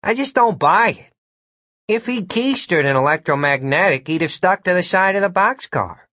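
A man plays a level-headed male agent in an audiobook, the judge of the pair. He is measured and skeptical, with a slightly rough, husky voice in a dry recording. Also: high voice, expressive, pushed and bright, no room sound, pitched above the performer's own voice, exaggerated voice. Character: even-toned, sensible male federal agent, the judge. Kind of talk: audiobook character voice.

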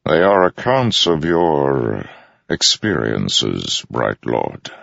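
A grave noble general with a formal, measured way of speaking and a low, slightly gravelly tone, as a male narrator voices him. The sound is dry and muffled, like a close studio read. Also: expressive, no room sound. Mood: solemn. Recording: dull dry studio recording.

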